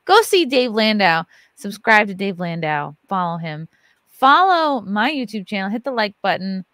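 A woman's voice: medium pitch, sing-song, moderate pace, fairly bright tone, no room sound. A woman in her thirties hosting a casual livestream podcast from a home studio, loose and joking, swearing freely. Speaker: podcast host. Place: home studio with neon lights. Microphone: large studio mic on arm. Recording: dry close-mic sound.

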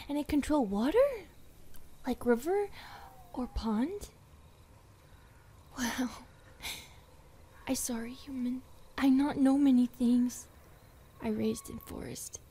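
A woman voicing a shy, affectionate, feral skinwalker girlfriend in an ASMR roleplay, soft and often whispering in halting broken English. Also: sits high, breathy edge, full character voice. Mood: curious, grateful.

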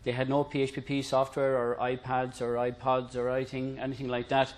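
A middle-aged Irish man presents an informal lecture. His voice sits at an average pitch, and he talks conversationally with light, dry humor, explaining ideas plainly to the room. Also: monotone, brisk. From Ireland. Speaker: presenter introducing a technical concept.

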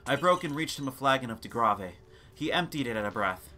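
Bright-voiced man narrating dramatically. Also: medium pitch, animated, a little fast. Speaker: narrator of a gothic short story. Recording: noisy ambience sound bed. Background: background ambience and sound effects.

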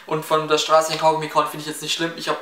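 Swabian teen boy, bright and echoey. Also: a little high, completely flat delivery, rapid-fire, a little hiss or hum. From Baden-Württemberg, near Stuttgart. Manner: chatty, rambling, many fillers and restarts. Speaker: YouTuber answering viewer questions. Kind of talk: casual Q&A vlog. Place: small room in front of window blinds, indoors. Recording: echoey room, slightly distant mic.

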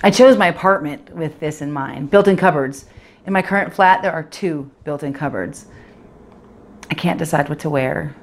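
Woman performing an anxious, low-voiced young professional woman. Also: moderate pace, slight background noise. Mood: confessional, fretful. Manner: confessional, fretful, repetitive. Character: anxious, self-critical 28-year-old professional woman, obsessive about appearance. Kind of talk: dramatic monologue in direct address.

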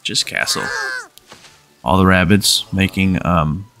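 A man in his thirties talks through a game in a relaxed, chatty way, his voice lowish and noticeably stuffed up from a cold. Faint game music runs underneath while he reads on-screen lines aloud. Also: slow pace. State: congested from a cold. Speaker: gaming channel host. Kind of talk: casual let's-play commentary. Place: home room, webcam in corner.

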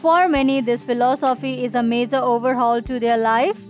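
Woman voice-over narrator, slightly high, even matter-of-fact delivery, lo-fi. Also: moderate pace. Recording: dull lo-fi voice-over with faint music.

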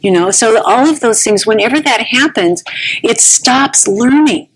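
A woman's voice: fairly high pitch, animated, measured pace, breathy edge, rough recording. A woman in her sixties giving a conference talk at a podium, chatty and anecdotal, often restarting her sentences, on a small clip-on mic. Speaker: conference speaker. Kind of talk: conversational lecture. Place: conference room with curtained stage. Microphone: small clip-on mic at the neckline.

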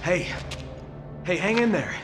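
An actor playing a serious, gruff special agent soldier in a video game cutscene, speaking in a terse military manner. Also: male voice, high voice, big pitch swings, slow, music in the background, heavily acted. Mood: concerned.

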